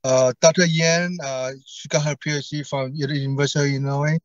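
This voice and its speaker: A man speaks in a conference talk, stuttering as he goes, often repeating words and starting over. His voice sits fairly high and sounds dry and slightly muffled, as on a video call. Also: level tone, a little fast, dry recording. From Taiwan. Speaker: co-founder of stuttering association.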